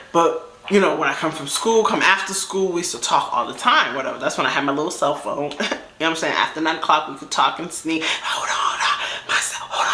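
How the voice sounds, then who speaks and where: A young woman with a fairly deep voice telling a casual story in a lively, laughing way. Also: slight background noise. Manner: animated, laughing, acts out quoted voices. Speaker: storytime vlogger. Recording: echoey room.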